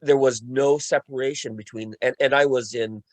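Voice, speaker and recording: A man talking casually as a participant and organizer in a panel discussion on a video call, often halting and restarting his words. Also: mid-range voice, steady tone, dry recording.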